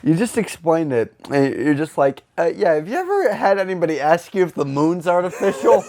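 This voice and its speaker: Young male comedy podcast co-host, casual riffer, highly animated intonation, playfully acts out imagined lines. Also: brisk. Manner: jokey, acts out imagined quotes.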